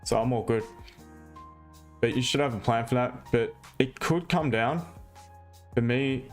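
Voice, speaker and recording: A man's voice: mid-range voice, a little slow, soft background music. A man hosting a live trading stream, chatting casually and answering viewer questions as he explains his trades.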